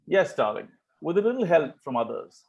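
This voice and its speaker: A man reading the part of a smitten, ardent young Victorian gentleman in an amateur table read of a stage comedy, wooing earnestly. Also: fairly high pitch, big pitch swings, moderate pace, gravelly, dull audio. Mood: adoring.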